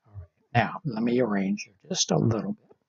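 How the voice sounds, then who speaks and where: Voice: mid-range voice, sing-song, brisk, gravelly, husky, highs cut off. A middle-aged instructor giving live online tech training step by step, often checking in with "right?" as they explain.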